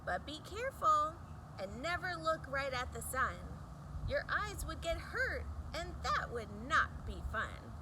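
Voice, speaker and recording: Lady reading a kids rhyming book, really slow n sing-song, noisy background. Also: sits high, sing-song. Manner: sing-song, emphatic delivery with deliberate pauses. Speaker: children's book reader. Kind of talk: expressive read-aloud of a rhyming picture book for children.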